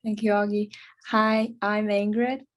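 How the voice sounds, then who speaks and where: Teen girl from China, student panelist: slow, flat delivery. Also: mid-range voice. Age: teens. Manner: slow, careful, simple phrasing. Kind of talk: casual introduction.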